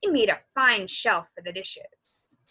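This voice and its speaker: A woman reading a children's story aloud as a library storyteller, in an expressive, animated way. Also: mid-range voice, slightly rough. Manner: animated, pausing to comment on the story.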